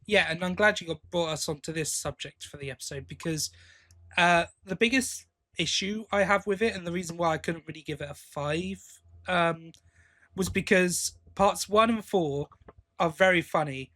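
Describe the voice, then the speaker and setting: A man with a fairly high-pitched voice talks casually as a podcast co-host reviewing a story. He speaks haltingly, pausing to think aloud and rephrase as he goes. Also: sharp tone.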